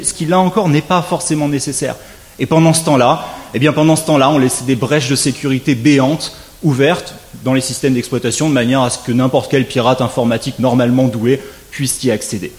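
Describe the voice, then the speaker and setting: A man delivering a formal academic lecture at a conference, his voice sitting a little higher than most men's. He speaks in a measured, orderly way, slipping in dry, wry asides and lifting his pitch to stress key points. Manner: measured, wry asides, lively rising emphasis. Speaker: legal scholar giving a conference talk.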